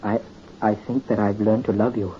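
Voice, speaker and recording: A man's voice: on the low side, level tone, normal speed, close to an everyday voice. An actor playing an earnest young nobleman, nearly twenty and newly in love, in a 1930s radio drama. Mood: tender. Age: young adult. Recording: muffled 1930s radio recording, faint hiss.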